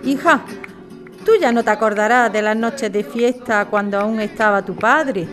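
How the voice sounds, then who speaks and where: A woman's voice: on the high side, normal speed, a bit bright, faint music behind, lightly acted. A woman playing a nostalgic widowed mother of a grown daughter in an audio drama. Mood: nostalgic, worried.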